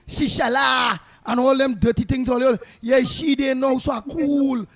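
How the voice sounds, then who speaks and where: A man with a Trinidadian Creole accent chatting casually as a co-host, in an animated, colloquial, sing-song way. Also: very high-pitched, unhurried, creaky. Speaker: talk show co-host. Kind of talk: casual political chat.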